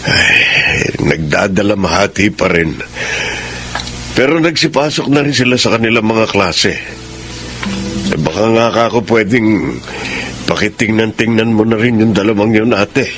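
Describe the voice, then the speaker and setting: A man's voice: on the low side, measured pace, fairly bright tone. An actor playing a worried, polite middle-aged father in a Filipino radio drama. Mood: worried, courteous. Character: worried father, a busy lawyer, courteous to his sister.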